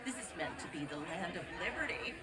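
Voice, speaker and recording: An actress playing an indignant suffragette in a video game, preaching and declamatory. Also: female voice, on the low side, animated, fast talker, lightly acted. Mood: indignant, impassioned. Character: fiery, indignant suffragette demanding the vote. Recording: loud music and crowd behind.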